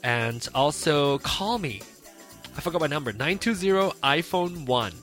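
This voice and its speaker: A man's voice: fairly high pitch, animated, a little slow, a bit bright, faint music behind. A man in his late thirties hosting a casual solo podcast, rambling and chatty and laughing often as he talks. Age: 30s. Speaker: podcast host.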